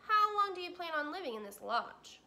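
A woman voicing a stubborn, gruff male beaver who grumbles and speaks bluntly in a folksy way. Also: very high-pitched, sing-song, moderate pace, bright, forceful tone, pitched above the performer's own voice, heavily acted.

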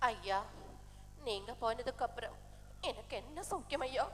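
A woman's voice: a little high, moderate pace, a bit bright, close to an everyday voice. A woman playing a fawning woman politician in a stage comedy, melodramatic and pleading. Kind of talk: stage comedy skit acting. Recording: echoey stage hall with music, distant.

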